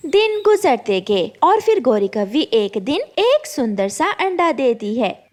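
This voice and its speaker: High, animated female storyteller. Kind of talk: scripted children's story narration.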